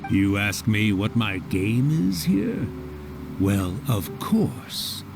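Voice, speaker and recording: Theatrical, wildly expressive scheming spirit. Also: male voice, on the low side, creaky, over music, heavily acted. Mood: gloating. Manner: theatrical, sardonic, drawn-out. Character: theatrical male summoned spirit of a scheming writer. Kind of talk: video game voice acting.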